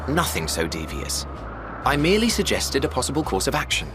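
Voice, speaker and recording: An actor playing a genial, self-effacing ancient man in a video game, with eloquent, gently teasing, formal phrasing. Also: medium pitch, huge pitch swings, fairly quick. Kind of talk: video game cutscene acting. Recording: music behind the voice.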